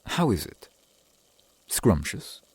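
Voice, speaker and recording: A man playing a courtly, doting centuries-old vampire boyfriend in an ASMR roleplay, speaking in formal, archaic, gently attentive phrases. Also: slow, no room sound, close to an everyday voice.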